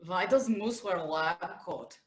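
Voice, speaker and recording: A woman playing a blunt, loyal henchwoman in a staged play reading, speaking deliberate, clipped broken English. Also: fairly low pitch, close to an everyday voice. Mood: stern, blunt.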